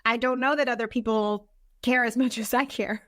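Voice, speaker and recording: A woman's voice: fairly high pitch, normal speed, dry recording, studio quality. A woman being interviewed as a guest, talking casually and earnestly, often acting out little quotes of herself and others. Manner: earnest, animated self-quoting, informal. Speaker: podcast guest, business consultant.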